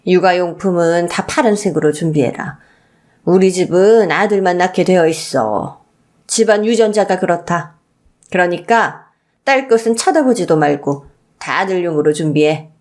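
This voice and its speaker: A woman's voice: on the low side, normal speed, darkish tone, natural voice. A woman voicing a domineering older mother-in-law in a radio story, insistent and scolding. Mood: bossy, insistent.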